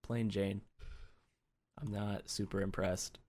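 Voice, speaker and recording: Deep-voiced man laughing through casual chat. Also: takes it slowly. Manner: laughs often, relaxed and chatty. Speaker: podcast co-host.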